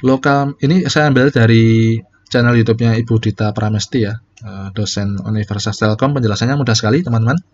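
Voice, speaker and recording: A man's voice: medium pitch, brisk, highs cut off, a little hiss or hum. A man teaching calculus in a casual, step-by-step way, often correcting himself. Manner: casual, explains step by step, many fillers and self-corrections. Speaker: calculus lecturer. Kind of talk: recorded calculus lecture.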